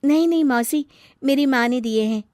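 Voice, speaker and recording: A woman's voice: high voice, normal speed, darkish tone, no room sound, clean studio sound, pitched above the performer's own voice, lightly acted. A woman voicing a proud, easily piqued young daughter-in-law in a moral story, with pert, sarcastic retorts. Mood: defensive. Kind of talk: acted dialogue in a moral story.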